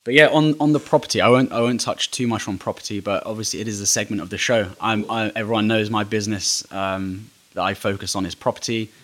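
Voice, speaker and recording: A man's voice: lowish voice, fast talker, few pauses, fairly bright tone. A man hosting a podcast, talking candidly and with strong opinions, often restarting his sentences. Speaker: podcast host. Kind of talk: casual interview chat.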